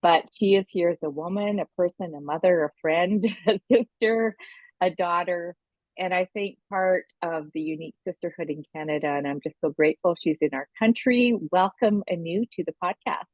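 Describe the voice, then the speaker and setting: A woman's voice: lowish voice, moderate pace, no room sound, phone-quality sound. A woman co-hosting a podcast, introducing a guest in a conversational interview style.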